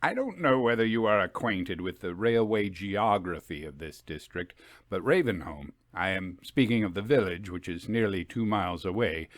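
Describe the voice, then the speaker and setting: Guy doing a well-bred gentleman in an old storybook, genial n confiding, old timey wording, dry sound. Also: fairly low pitch, dry recording, close to an everyday voice. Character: genial gentleman, keen and confiding, telling a grave tale.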